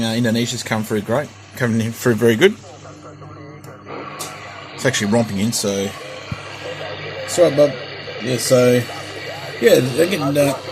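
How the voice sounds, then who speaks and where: An Australian man chatting casually and haltingly as a hobby radio operator, often repeating himself. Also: mid-range voice, low-quality recording. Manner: halting, repetitive. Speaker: CB radio operator demonstrating his equipment. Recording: clear background hiss and radio noise.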